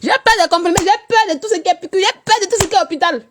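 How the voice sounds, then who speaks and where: A woman, chatting on a casual live stream while she has a cold, swinging between loud teasing and low murmurs. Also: racing, background noise.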